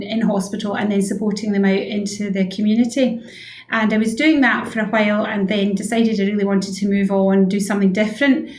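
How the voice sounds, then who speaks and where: A Scottish woman of about sixty answering conversationally as a guest in a radio interview. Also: mid-range voice. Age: around 60. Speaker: interview guest, head physiotherapist. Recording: roomy, echoing room sound.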